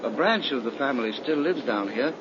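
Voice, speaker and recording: A man's voice: medium pitch, animated, a little fast, close to an everyday voice. An actor playing a nervous, easily frightened young man in an earnest 1930s radio horror drama. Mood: uneasy, wondering. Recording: noisy, dull 1930s radio recording.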